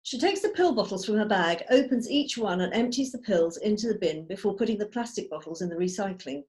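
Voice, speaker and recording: A woman reading out screenplay stage directions as measured, storytelling narration. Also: mid-range voice, expressive, a little mellow. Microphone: video call.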